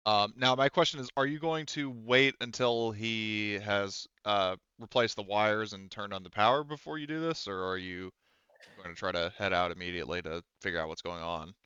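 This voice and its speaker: Bright, low-voiced man running a tabletop game. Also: normal speed, clear, resonant tone, no echo, lo-fi sound. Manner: hesitant, conversational, hedging. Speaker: game master. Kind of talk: improvised tabletop game narration.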